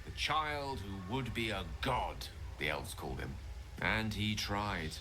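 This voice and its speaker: A man's voice: fairly low pitch, lively intonation, slow, background noise. An actor playing a learned, wistful male wizard in a fantasy game, speaking in an eloquent, theatrical storytelling manner. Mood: solemn storytelling. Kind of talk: fantasy video game acting.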